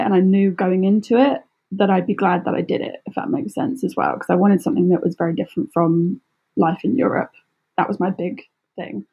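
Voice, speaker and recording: Husky, creaky-voiced British woman chatting. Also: medium pitch, warm tone, dull audio. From United Kingdom. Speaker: podcast guest, travel blogger. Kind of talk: casual conversational interview.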